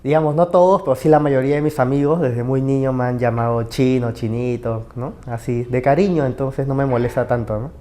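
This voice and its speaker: A man's voice: mid-range voice, steady tone, brisk, hardly any pauses, dark tone. A Peruvian man with a Peruvian Spanish accent, speaking in an easygoing, good-humored way. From Peru. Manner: easygoing, laughs often. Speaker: interviewee. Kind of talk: relaxed personal testimony.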